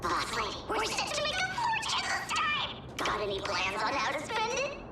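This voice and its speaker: A woman's voice: extremely high pitch, huge pitch swings, normal speed, full character voice. A woman voicing a small, cheeky, eager treasure-hunting creature in a video game, with a cutesy, boastful delivery. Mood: excited. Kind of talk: video game character acting. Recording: distant, roomy, heavy noise behind.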